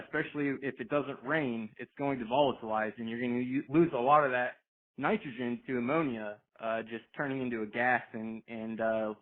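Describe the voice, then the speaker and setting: Guy explaining stuff on a phone call, mid pitch, stumbles and repeats words, thin tinny sound. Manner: halting, often repeating words. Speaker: agricultural products specialist. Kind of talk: informal explanatory presentation. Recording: tinny, noisy phone conference line.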